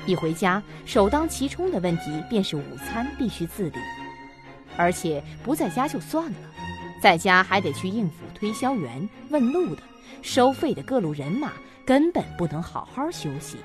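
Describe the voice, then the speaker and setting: A woman's voice: medium pitch, sing-song, moderate pace, over music. A woman reading scripted narration for a suspense audio drama.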